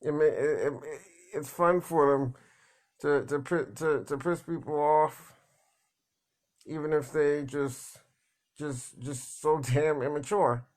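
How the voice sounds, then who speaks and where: Man vlogger, slow halting delivery, fairly high voice, blunt and plain, lots of restarts. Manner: halting, repeats words, many fillers. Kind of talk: casual rambling monologue.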